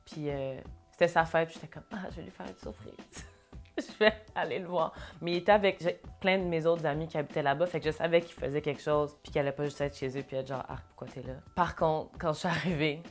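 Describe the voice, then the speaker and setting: Low-voiced Québécois woman, chatty. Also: quick pace, soft background music. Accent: Québécois French. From Montréal, Québec. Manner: chatty, mixes English phrases into French. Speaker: interview guest, singer and dancer. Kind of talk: casual interview talk.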